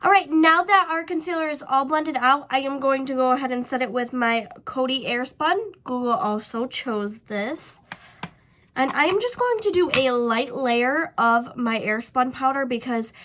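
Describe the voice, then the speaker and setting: A woman's voice: high-pitched, moderate pace, fairly bright tone. A young woman with a stuffy, nasal voice from a cold, chatting casually through a makeup tutorial with frequent ums and likes. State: sick with a stuffy nose. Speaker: beauty vlogger.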